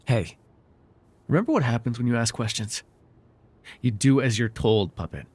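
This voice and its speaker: A man playing a controlling, vengeful supervillain in an immersive ASMR roleplay, taunting and giving orders in a deliberate, mocking way. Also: medium pitch, animated, a little mellow, slightly rough. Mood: controlled, commanding. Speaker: voice actor.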